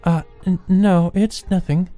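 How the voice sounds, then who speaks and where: Man voicing a timid, high-pitched stammering schoolgirl. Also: steady tone, a little slow, mellow, soft background music. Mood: nervous, shy. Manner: hesitant, stuttering. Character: shy, hesitant, stammering schoolgirl.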